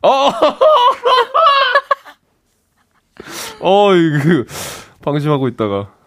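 A man's voice: sits high, very animated, drawn-out words, sharp tone. A man co-hosting a casual chat, easygoing and curious, who laughs along. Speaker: radio host.

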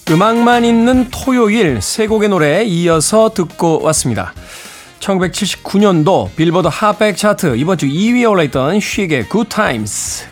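A middle-aged man hosting a music radio show, mixing song introductions with casual anecdotes in a wry, self-teasing, conversational manner. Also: high voice. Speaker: radio host and DJ.

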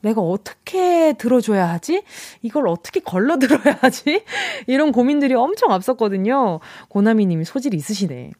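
A woman's voice: fairly high pitch, sing-song, normal speed, hardly any pauses, darkish tone, dry recording, studio quality. A young woman from Busan hosting a radio show, chatting casually, laughing often and acting out listeners' messages. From Busan, South Korea. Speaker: radio DJ host. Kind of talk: casual radio chat reading listener texts. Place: radio studio. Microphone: studio microphone.

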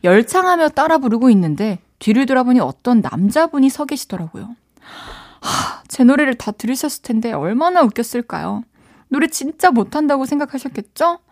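A young woman hosting a radio show, chatting casually and reading out listener stories with quick exclamations. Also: high voice, very animated, no room sound, studio quality.

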